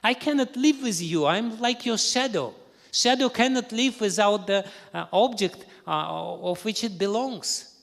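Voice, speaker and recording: A man voicing a devoted young princess who angrily insists on following her husband, in a high, strained, pleading voice. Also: expressive, a little slow, creaky, fairly bright tone, heavily acted. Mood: indignant. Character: devoted young princess, fiercely loyal wife. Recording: reverberant hall.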